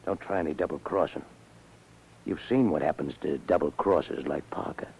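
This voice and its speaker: An actor playing a cold, threatening gangster boss in a 1940s radio crime drama, curt and commanding. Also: male voice, very deep voice, moderate pace, gravelly, darkish tone, breathy edge, exaggerated voice. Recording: dull old-time radio recording.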